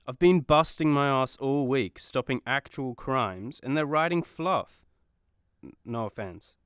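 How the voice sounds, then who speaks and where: A man's voice: medium pitch, unhurried, a bit bright, clean tone, no room sound, clean studio sound, natural voice. An actor playing a vain, sulky male superhero in a radio comedy, griping with indignant sarcasm. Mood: sulky, sarcastic. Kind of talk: comedy radio play acting.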